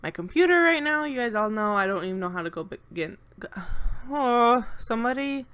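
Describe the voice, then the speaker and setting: A woman's voice: fairly high pitch, lively intonation, brisk, a bit bright, clear, resonant tone. A young woman with a congested, stuffed-up nose, talking casually and nervously over a game build at home, rambling with lots of fillers. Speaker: channel co-host.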